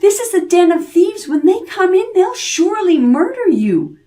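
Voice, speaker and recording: Woman voicing an alarmed old woman, pitched high. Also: sing-song, moderate pace, warm tone. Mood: alarmed warning. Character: startled old woman in a robbers' cottage, anxiously warning.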